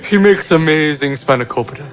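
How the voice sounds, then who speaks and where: A rambling, teasing toy from an improvised comedy, played by a man. The voice is somewhat high, boastful and stumbling, with music underneath. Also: lively intonation, a little fast, lightly acted, poor audio. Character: rambling, taunting, foul-mouthed old-owner-boasting toy.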